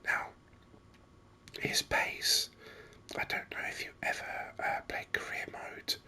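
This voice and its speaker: Whispered ASMR gaming host: very bright, sibilant breathy whisper, slow and rambling but keen. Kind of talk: whispered ASMR game commentary.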